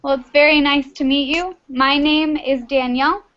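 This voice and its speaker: Friendly woman teacher with a high voice, coaxing students along on a dull video-call line. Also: fairly even intonation, moderate pace, a bit bright. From Texas, United States. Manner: friendly, patient, encouraging. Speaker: English teacher. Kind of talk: online class small talk. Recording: muffled video-call audio.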